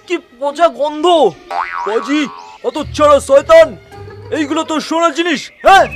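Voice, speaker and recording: Greedy cartoon trader, very high pitched, blustering bully, light music underneath. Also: male voice. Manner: blustering, exclamatory. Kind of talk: children's cartoon voice acting.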